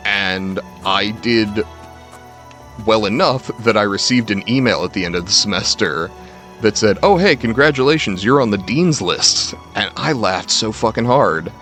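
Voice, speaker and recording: A man's voice: lowish voice, lively intonation, measured pace, a bit bright. A young man chatting casually to stream viewers, telling rambling, self-deprecating personal stories with casual swearing. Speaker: game livestreamer. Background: faint game music.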